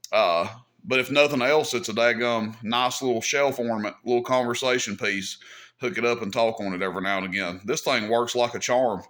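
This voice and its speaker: A man's voice: medium pitch, fairly bright tone. A man casually demonstrating equipment as a hobby host, talking in a folksy, easygoing way as he thinks aloud. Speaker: host of a CB radio hobby channel.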